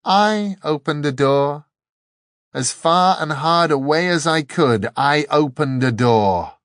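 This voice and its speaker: A man's voice: mid-range voice, big pitch swings, normal speed, fairly bright tone, no echo, clean studio sound. A man voicing a defiant, triumphant young woman in an audiobook, speaking emphatically.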